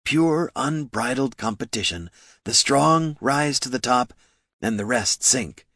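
A man's voice: medium pitch, expressive, takes it slowly, sharp tone, no echo, studio quality, pitched above the performer's own voice, heavily acted. A man voicing a pompous, smug businessman in a fantasy audiobook, drawling with leisurely condescension.

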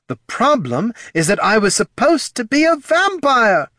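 Man voicing a shrill, wailing ghost girl. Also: very animated, unhurried, pushed and bright, no room sound, studio quality, heavily acted. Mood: furious, snarling. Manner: snarling, wailing, indignant. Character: young woman's angry wailing undead shade, thwarted would-be vampire.

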